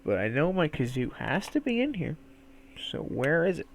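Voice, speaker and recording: Nasal, animated man chatting. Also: fairly high pitch, moderate pace, gravelly. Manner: playful, goofy, swearing. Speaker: streamer talking to viewers. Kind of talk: casual rambling chat to camera.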